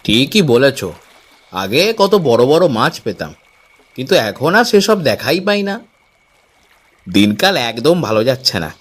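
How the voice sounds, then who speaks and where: A man's voice: mid-range voice, big pitch swings, normal speed, long pauses. A man voicing an eager, persuasive village fisherman in a Bangla cartoon. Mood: glum.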